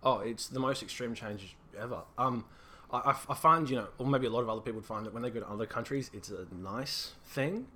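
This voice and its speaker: A man's voice: medium pitch, brisk, bright, forceful tone. A young man from Australia, a guest chatting casually on a podcast, rambling and laughing often as he talks.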